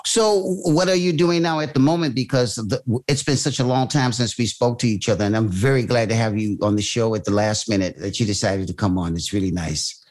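A man's voice: fairly low pitch, brisk, few pauses, a little mellow. Friendly male podcast host. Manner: friendly, encouraging, laughs easily. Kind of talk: casual interview chat.

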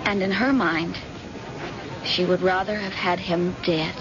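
An actress playing an anxious, tender grown daughter, earnest and pleading, in an old-time radio drama. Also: female voice, lowish voice, fairly even intonation, unhurried, natural voice. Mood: shaken, subdued. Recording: dull, noisy old radio recording.

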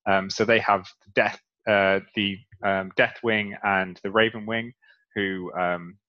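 Low-voiced man, dull lo-fi recording. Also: level tone, takes it slowly. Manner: hesitant, many ums, explains lore at length. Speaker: podcast co-host. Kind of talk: casual podcast chat.

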